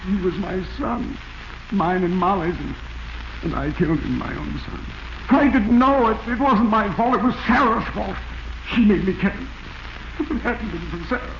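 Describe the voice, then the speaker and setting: A guilt-ridden convict raves in a very high, strained pitch, frantic and anguished. It is old-school 1940s radio melodrama, on a noisy, boxy, echoey recording. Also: male voice, lively intonation, moderate pace, fairly bright tone, lo-fi sound. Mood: anguished disbelief. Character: crazed, guilt-ridden male convict raving at a phantom.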